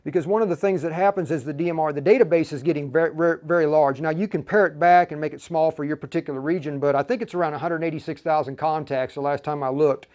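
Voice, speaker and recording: A man's voice: on the high side, fairly even intonation, quick pace, few pauses, darkish tone. A man presenting a live tutorial as an instructor, explaining casually in a folksy way and talking straight to his viewers. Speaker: livestream host and instructor.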